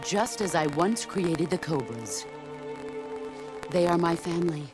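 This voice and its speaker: Game villain-mentor, a middle-aged woman soldier: solemn, philosophical, lowish voice, measured monologues over clear music. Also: animated. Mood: resolute. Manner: measured, grave, philosophical delivery. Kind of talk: dramatic video game cutscene monologue.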